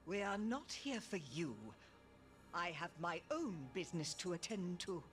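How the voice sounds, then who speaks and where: A cold evil sorceress, imperious n menacing, swoopy delivery, game villain w music behind. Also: female voice, lowish voice, lively intonation, measured pace. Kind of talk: animated video game acting.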